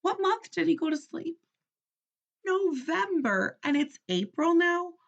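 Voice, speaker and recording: A woman reads aloud like a teacher with young children. Her pitch moves around a lot and her pace is unhurried, with questions to check they follow. The recording is slightly dull. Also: gravelly. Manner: expressive, instructive, checking understanding.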